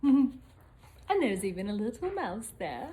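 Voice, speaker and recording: A woman's voice: fairly high pitch, big pitch swings, slow pace, mellow. A woman storyteller in the role of Mrs Claus, reading a picture book aloud to young children with chatty asides.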